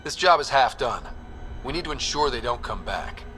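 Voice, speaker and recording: A man playing a confident, decisive young space squad leader in a video game, with a brisk commanding delivery. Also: medium pitch, expressive, bright, forceful tone, a little hiss or hum. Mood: determined. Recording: music behind the voice.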